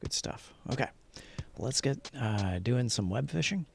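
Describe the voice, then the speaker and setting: A man's voice: medium pitch, lively intonation, a little slow, gravelly. A man hosting a casual gaming livestream, chatty and upbeat, often muttering to himself as he sets things up. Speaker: livestreamer and host. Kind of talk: casual gaming livestream chat while setting up.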